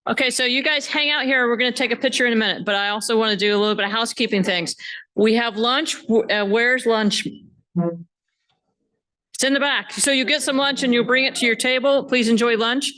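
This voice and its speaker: A woman's voice: on the high side, fairly even intonation, fairly quick, pushed and bright. A woman organizing a university symposium, giving loose, chatty housekeeping announcements to a conference hall crowd. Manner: loose, chatty, self-correcting. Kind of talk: casual announcements to an audience.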